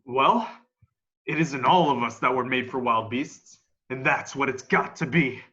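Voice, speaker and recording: A young man playing a grim, defiant militia survivor of an alien invasion in an amateur radio play, with a folksy, halting, emphatic delivery. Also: mid-range voice, bright, forceful tone. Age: young adult. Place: room at home. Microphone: video call. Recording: dull, lo-fi video call.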